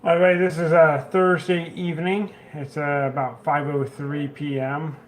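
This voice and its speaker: A man's voice: on the high side, flat delivery, moderate pace, slight background noise. A man speaking casually at home, blunt and dismissive. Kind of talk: casual vlog aside.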